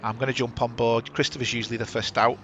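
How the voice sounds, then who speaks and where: A man's voice: mid-range voice, flat delivery, fast, fairly bright tone, dull audio, a little hiss or hum. A chatty man hosting a sim racing stream on a headset, talking over the action and correcting himself. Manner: chatty, rambling, self-correcting. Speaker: sim racing broadcast host. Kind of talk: live race commentary.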